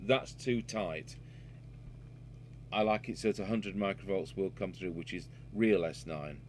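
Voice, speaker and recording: A man's voice: deep voice, unhurried. A man gives an unscripted hands-on repair demonstration, matter-of-fact and thinking aloud as he works. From United Kingdom. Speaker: radio repair technician and presenter. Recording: noisy workshop recording with electrical hum.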